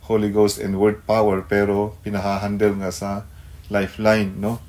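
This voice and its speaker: A male Filipino pastor hosting a devotional radio program, speaking haltingly and searching for words. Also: lowish voice, completely flat delivery, a little mellow. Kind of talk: devotional talk mixing Tagalog and English.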